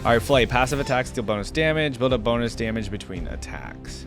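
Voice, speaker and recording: A man's voice: mid-range voice, lively intonation, fairly quick, over music. A man giving casual reaction commentary, thinking aloud in a tentative way and sometimes reading text out. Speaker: reaction video host.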